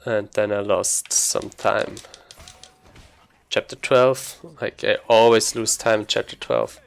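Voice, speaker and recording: A man giving casual live commentary as he plays a game, haltingly thinking aloud. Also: lowish voice, fairly even intonation, vocal fry, smooth. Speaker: speedrunner commentating gameplay.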